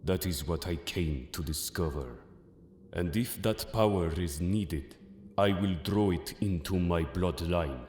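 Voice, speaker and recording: A man's voice: deep voice, unhurried, a little mellow, a little hiss or hum. A man playing a solemn, mysterious Egyptian mystic in an audio drama, speaking in a grave, portentous manner. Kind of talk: audio drama acting of a manga. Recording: echoey, long cavernous reverb.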